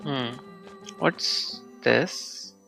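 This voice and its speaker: Slow, gravelly male game streamer. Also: sharp tone, soft background music. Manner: slow, low-key, mumbled reactions. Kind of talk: casual gameplay commentary.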